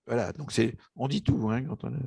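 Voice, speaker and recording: Gravelly, husky older man, hesitant. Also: medium pitch, very animated, brisk, no room sound, clean studio sound. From Egypt. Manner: hesitant, many restarts and fillers, warm storytelling. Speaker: author interviewed about his book. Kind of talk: casual interview, personal memories.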